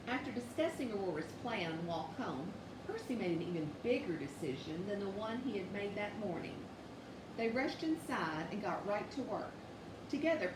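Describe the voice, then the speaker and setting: A woman reading a children's bedtime story aloud with expressive, measured delivery. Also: mid-range voice. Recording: echoey room, distant mic, noisy background.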